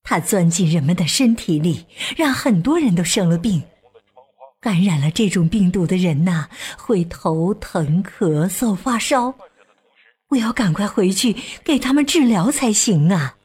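A woman playing a gentle, dutiful doctor mother with a soothing, earnest manner in a children's radio drama. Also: medium pitch, animated, unhurried, husky. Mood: apologetic, earnest.

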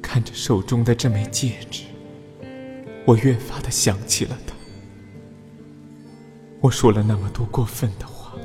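A man's voice: medium pitch, dark tone, close to an everyday voice. A man playing a doomed young warrior in an audio drama monologue, regretful and in love, his voice echoing over music. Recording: long reverb, music under the voice.

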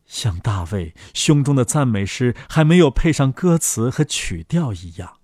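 A man narrating a fairy tale for children as the host, in an expressive scripted storytelling style. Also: mid-range voice, unhurried, no room sound, clean studio sound.

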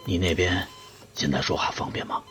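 Man acting deep-voiced furtive older colleague. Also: steady tone, normal speed, fairly bright tone, husky, full character voice. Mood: guarded. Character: older male colleague, familiar, furtive, cynical. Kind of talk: audio drama acting. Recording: dull muffled phone call, background noise.

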